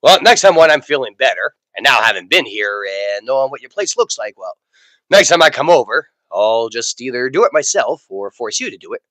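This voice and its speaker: A man with a raspy voice from a cold, chatting casually as co-host and teasing his friend dryly. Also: medium pitch, very animated, a little fast, bright, forceful tone, dry recording, poor audio. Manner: dry teasing, laughs a lot. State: getting over a cold. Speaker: podcast co-host. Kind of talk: casual chat. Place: indoors at a friend's home.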